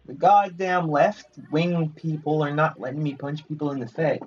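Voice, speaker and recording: A man's voice: a little high, fairly even intonation, normal speed, breathy edge, muffled recording, soft background music. A man giving casual, sardonic and grumbling commentary while playing a video game. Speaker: gaming video host. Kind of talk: casual let's play commentary.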